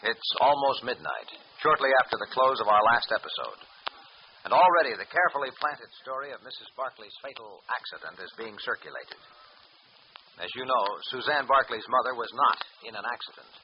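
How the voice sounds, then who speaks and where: A man's voice: medium pitch, expressive, a little fast. A man narrating a mid-century radio serial as announcer, with dramatic scripted delivery. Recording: muffled, noisy old radio recording.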